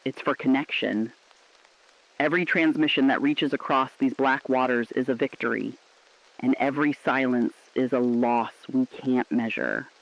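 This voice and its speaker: A man's voice: medium pitch, steady tone, moderate pace, gravelly, lightly acted. A man playing an earnest, defiant radio correspondent in a shelter, giving a solemn, dramatic broadcast on a dull, dry recording. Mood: somber, hopeful.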